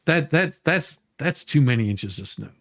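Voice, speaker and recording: A man hosting a casual live stream, talking haltingly and often repeating his words. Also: medium pitch, lively intonation, a bit bright.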